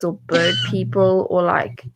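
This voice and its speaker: A woman's voice: on the low side, little pitch movement, unhurried, gravelly, music in the background. A woman chatting casually as co-host of a livestream about books, on a video call. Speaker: co-host of a book readalong livestream. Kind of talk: casual chat.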